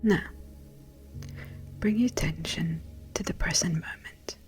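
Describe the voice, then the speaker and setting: A woman speaks in a breathy near-whisper at a very slow, measured pace. She guides a meditation gently and soothingly, with music playing behind her. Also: on the low side.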